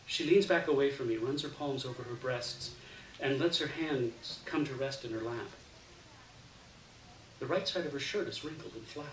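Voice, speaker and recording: A man reads a first-person literary story aloud as an expressive storyteller, performing the dialogue within the narration. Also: mid-range voice, normal speed. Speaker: story reader. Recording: roomy, distant mic, faint hiss.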